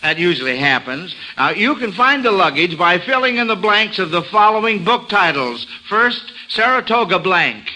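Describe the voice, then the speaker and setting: A man's voice: high voice, very animated, normal speed, hardly any pauses, pushed and bright, low-quality recording. An American man hosting an old-time radio quiz show for children, with folksy, playful, exclamatory patter. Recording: old radio recording with background noise.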